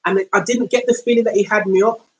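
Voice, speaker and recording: A woman podcast host with a London accent talks in a loose, slangy, conversational way. She tells her story as a casual monologue. When she quotes someone, she slows down and speaks with deliberate weight. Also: medium pitch, steady tone, darkish tone, highs cut off. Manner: conversational, slangy, acts out quoted speech in slow, deliberate tones.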